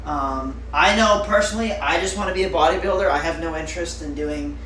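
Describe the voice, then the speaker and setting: A young man with a slightly higher voice chats loosely with his live-stream audience, trailing off into fillers. He sits back from the mic in a roomy, echoing space with a faint hiss underneath. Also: a little fast, a bit bright, far from the mic. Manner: chatty, rambling with fillers, answering viewers. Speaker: livestreamer. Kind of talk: casual live-stream chat.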